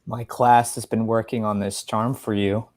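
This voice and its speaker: A man playing a gentle, earnest father who is a magic professor in a story reading, speaking in a measured, reassuring way. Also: fairly low pitch, level tone, close to an everyday voice. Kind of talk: story reading, dialogue part.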